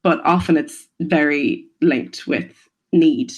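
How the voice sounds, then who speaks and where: A young woman from Ireland, a podcast guest explaining things in a gently informative way. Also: low-pitched, slow pace, breathy edge. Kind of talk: conversational interview.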